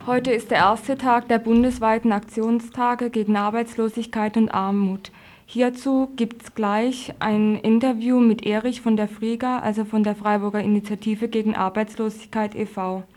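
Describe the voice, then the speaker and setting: A woman's voice: on the high side, little pitch movement, moderate pace, a little mellow. A woman presenting and interviewing for a radio news programme, asking questions in a factual way.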